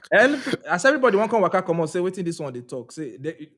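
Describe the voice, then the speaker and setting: A man co-hosting a live podcast, telling stories in animated, repetitive bursts. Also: sits high, fast. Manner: animated storyteller, repeats words. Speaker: podcast co-host. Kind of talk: casual chat, live podcast.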